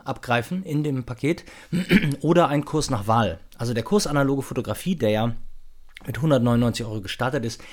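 A male podcast host with a mid-pitched voice speaks off the cuff. He is informal and chatty, feeling his way through a casual announcement with a hesitant, rambling delivery.

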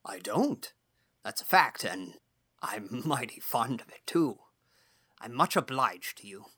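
A gruff old farmer from a storybook, acted out by a woman reader, blunt and folksy. The voice drops low and swings widely in pitch, with a forceful, blustery edge that still sounds kindly underneath. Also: sing-song, takes it slowly, exaggerated voice. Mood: pleased, genial. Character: gruff, outspoken, irritable but kindly old male farmer. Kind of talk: audiobook character dialogue.

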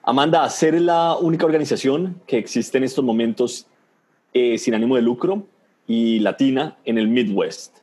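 A man's voice: mid-range voice, a little fast, warm tone, breathy edge. A man around thirty from Colombia, a native Spanish speaker with a Colombian accent, giving prepared answers as a podcast guest. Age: 30s. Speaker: guest, nonprofit research associate. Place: remote video call over Zoom.

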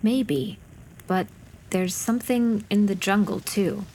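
A man doing a hushed, uneasy wizard. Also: high voice, slow, a little hiss or hum, poor audio. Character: wary male Breton wizard, quiet and watchful.

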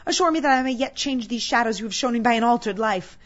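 A woman's voice: medium pitch, a little fast, dull audio, lightly acted. A woman voicing a frightened, repentant old man in a storybook, pleading in fervent exclamations. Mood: desperate, repentant.

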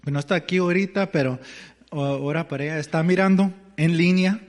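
A man's voice: fairly high pitch, fairly quick, big-room sound. A man preaching as pastor, hesitant and searching for words in a language that is not his first, with as his tongue. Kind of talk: sermon.

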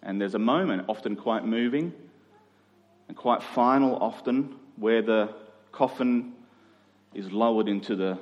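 A man preaching a sermon as a pastor, swinging between emphatic and hushed delivery with rhetorical questions. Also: lowish voice, measured pace, long pauses, lo-fi sound. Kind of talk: sermon to a congregation. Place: large reverberant church hall. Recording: long hall reverb.

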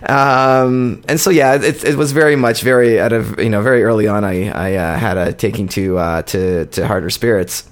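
Rambling, low-voiced man. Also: steady tone. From born in Poland, raised in Canada. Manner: rambling, halting storyteller. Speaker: podcast co-host. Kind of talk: casual chat.

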